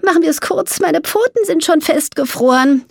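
A woman's voice: sits high, animated, normal speed, creaky, a little mellow, dry recording, clean studio sound, pitched above the performer's own voice. A woman voicing a grumpy, indignant male mole in an audiobook, scolding like someone dragged out of hibernation. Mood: exasperated. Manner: indignant scolding, stammers when nervous. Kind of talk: audiobook character voice.

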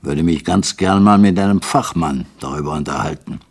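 A man voicing a terse, brooding old man with a deep, hoarse voice who grumbles in clipped phrases. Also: normal speed. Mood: curious. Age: older.

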